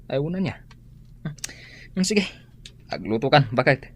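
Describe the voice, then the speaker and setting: A man's voice: medium pitch, animated, a little slow. A man with an Ilocano Filipino accent playing a sly, scheming husband in a comedic radio drama. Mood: sly, pleased.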